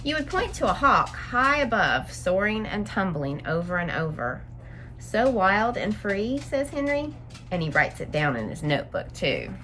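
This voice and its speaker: A woman's voice: medium pitch, very animated, a little slow, fairly bright tone, a little hiss or hum. A woman reading a picture book aloud to young children, adding simple explanatory asides as a story-time teacher.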